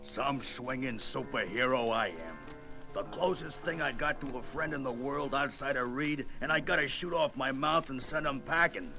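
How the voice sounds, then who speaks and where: Guy doing a big gruff rock monster, gravelly n hot-tempered, comic book drama, loud music behind. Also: medium pitch, moderate pace, natural voice. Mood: brooding. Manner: blustery, slangy tough-guy talk. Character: gruff, hot-tempered, brawny rock-skinned monster hero, self-pitying.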